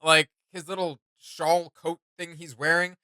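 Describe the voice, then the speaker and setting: A man's voice: a little high, level tone, a little slow, bright, forceful tone, smooth, no echo, studio quality. A man chatting casually and enthusiastically as a reaction host, rambling and slangy. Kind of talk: casual enthusiastic fan chat.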